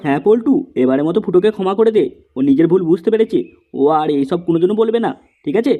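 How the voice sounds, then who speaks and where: Man as young cartoon peacemaker, highish mellow voice, quick coaxing talk. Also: animated, faint music behind. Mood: placating. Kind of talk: comedy cartoon dialogue.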